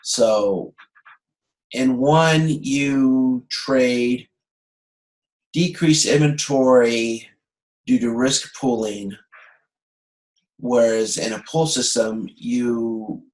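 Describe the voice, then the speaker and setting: A man giving an informal online lecture as a course instructor, halting and correcting himself as he thinks aloud. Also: mid-range voice. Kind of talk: informal lecture explaining slides. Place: indoor room, online video call. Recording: echoey room on video conference.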